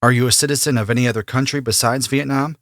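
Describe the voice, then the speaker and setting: A man playing an immigration officer who asks formal, steady questions in a scripted practice interview. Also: mid-range voice, quick pace, no room sound, studio quality, lightly acted. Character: male immigration officer, businesslike and neutral. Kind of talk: scripted role-play interview.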